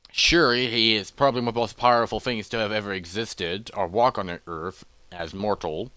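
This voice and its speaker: A man's voice: lowish voice, fairly bright tone, no room sound. A man voicing a massive, curious explorer creature in a fantasy roleplay, hesitant and thoughtful as he talks to himself. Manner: musing, hesitant, talking to himself.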